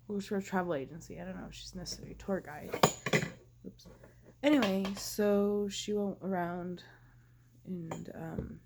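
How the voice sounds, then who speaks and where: Husky, gravelly woman with a cold. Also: lowish voice, mellow. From Taiwan. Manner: halting, many ums and restarts, drifting into whispers. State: has a cold. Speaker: vlogger. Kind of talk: casual personal monologue.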